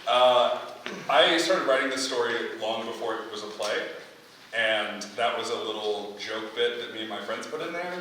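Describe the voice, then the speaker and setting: A man's voice: mid-range voice, lively intonation, normal speed, pushed and bright. A man speaking informally as a playwright taking questions after a staged reading. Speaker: playwright leading audience feedback. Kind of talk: informal question-and-answer talk. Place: echoey indoor venue. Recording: very echoey room, distant, noisy.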